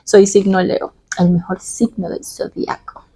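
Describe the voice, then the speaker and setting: A woman with a Caracas Venezuelan accent talks casually, as if confiding in her followers. Her voice is mellow and relaxed, with plenty of vocal fry at a medium pitch. She is expressive and giggly, drawing out words for emphasis. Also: unhurried, rough recording. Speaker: vlogger answering personal questions. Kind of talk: casual, chatty personal vlog.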